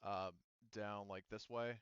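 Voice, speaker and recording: Rambling male game master, smooth low voice. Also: level tone, slow pace, fairly bright tone, no echo, highs cut off. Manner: rambling, explanatory. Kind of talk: casual improvised tabletop game narration.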